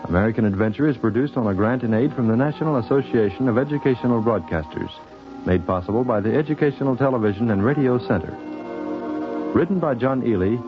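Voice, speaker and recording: A man announcing a 1950s radio programme in a formal, measured, scripted style. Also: medium pitch. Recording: muffled 1950s radio recording with music.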